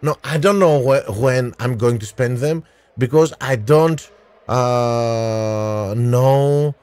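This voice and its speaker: A man in his thirties calls out race strategy and replies to his chat at a slow, easy pace. His voice sits at an ordinary pitch and comes through a headset mic with a dry, close sound. He often pauses with filler sounds while he thinks. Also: gravelly, darkish tone, no room sound. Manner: unhurried, talks to chat by name, frequent "uh" pauses. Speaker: game streamer. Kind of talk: live gameplay commentary and chat replies.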